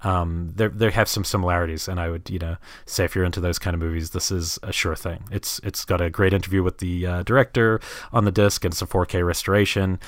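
Low voiced guy from new zealand on a movie podcast, rambling and hesitant, super dry close sound. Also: fairly even intonation, fast talker, no room sound. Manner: hesitant, rambling, thinking aloud. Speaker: film podcast host. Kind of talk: casual film discussion.

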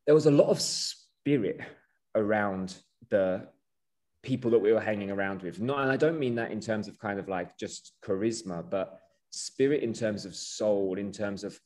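A man's voice: fairly low pitch, normal speed, a little mellow. A man hosting a podcast interview, asking long, earnest, effusive questions. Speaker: podcast host.

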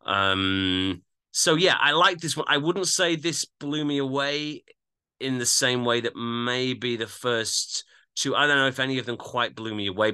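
A man from England with an English accent, chatting casually as he reviews music as a podcast co-host, measured and hedging in his opinions. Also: mid-range voice, bright, forceful tone, smooth.

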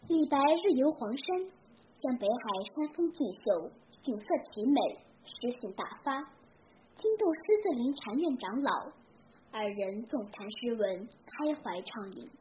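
A teenage girl from Hefei narrating a scripted legend in a careful, expressive recital style. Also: a little high. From Hefei, Anhui, China. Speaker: young storyteller. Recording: dull lo-fi recording with clear background noise.

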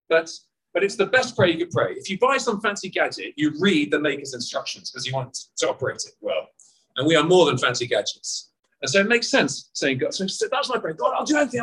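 Animated, high-pitched male preacher. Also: rough recording. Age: 40s. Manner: lively, conversational preaching with rhetorical questions. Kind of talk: sermon. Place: outdoor car park service.

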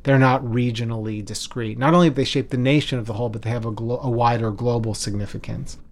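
A man speaking as a scholar in an interview, explaining history in a measured, emphatic way with deliberate lists. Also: mid-range voice, steady tone. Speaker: scholar, expert commentator.

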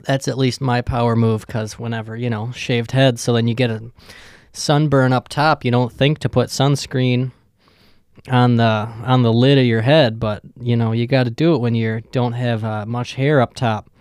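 Profane, rambling young podcast host. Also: male voice, medium pitch, fairly even intonation, moderate pace, darkish tone, clear, resonant tone, dry recording, studio quality. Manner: profane, joking, loose and rambling. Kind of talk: casual rambling monologue.

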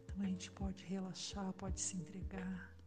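A Brazilian woman guiding a relaxation meditation in a soft, soothing way, with long pauses and breathy phrase endings. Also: mid-range voice, monotone. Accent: Brazilian Portuguese. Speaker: meditation guide. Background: background music.

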